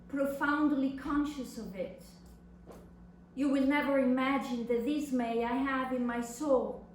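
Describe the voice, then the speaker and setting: A woman's voice: on the high side, a little mellow. A woman performing a dramatic monologue as an anguished young adult daughter addressing her mother, with impassioned, theatrical delivery. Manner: impassioned, deliberate, theatrical delivery with long pauses. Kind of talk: dramatic literary monologue reading on stage. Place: reverberant indoor venue at a live reading. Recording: echoey room, distant mic, faint noise.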